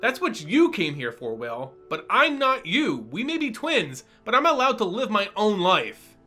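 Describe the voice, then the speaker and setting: Young twin sister mage, acted by a male narrator: breezy, headstrong, bright and lively, music under fantasy story. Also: high-pitched, unhurried, pitched above the performer's own voice, exaggerated voice. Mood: defiant. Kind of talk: dramatic fantasy story reading.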